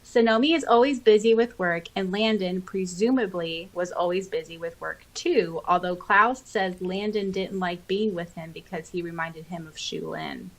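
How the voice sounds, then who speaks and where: A woman co-hosting a podcast, reading listener comments aloud with expressive, giggly, chatty reactions. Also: on the low side, moderate pace, few pauses, poor audio. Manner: expressive, giggly, interjecting exclamations. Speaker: podcast co-host.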